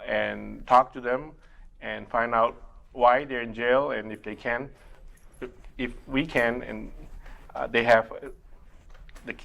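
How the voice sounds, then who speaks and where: A middle-aged man whose first language is Mandarin Chinese, working as an immigration attorney and answering interview questions in a measured way, with many pauses to think. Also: mid-range voice, vocal fry, a bit bright. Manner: measured, explains step by step with frequent uh pauses. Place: community TV studio.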